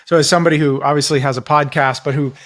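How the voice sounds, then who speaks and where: A man's voice: fairly high pitch, fairly even intonation, racing, fairly bright tone. A man hosting a podcast interview, speaking in an emphatic conversational way as he presses his point. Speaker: podcast host.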